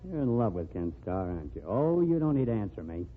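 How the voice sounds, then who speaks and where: A man's voice: fairly low pitch, very animated, fast. An actor playing a stern, jealous man who warns a woman and threatens to expose a secret, in an old radio drama. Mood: threatening. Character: jealous, warning male friend. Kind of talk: 1940s radio drama acting. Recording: tinny lo-fi old radio broadcast.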